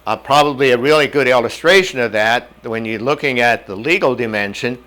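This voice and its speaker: An older man from North Dakota whose first language was German, giving a measured lecture on medical ethics in a classroom on a lapel mic. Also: mid-range voice, bright, forceful tone. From North Dakota, USA. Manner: measured, plain-spoken teaching with homely examples. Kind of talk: whiteboard lecture. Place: indoor classroom in front of a whiteboard.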